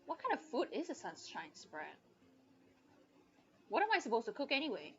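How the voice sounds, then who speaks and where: A woman's voice: a little high, big pitch swings, moderate pace, muffled recording, a little hiss or hum. A woman doing casual live gameplay commentary, muttering to herself and swearing offhandedly, with game music behind her. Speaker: game streamer.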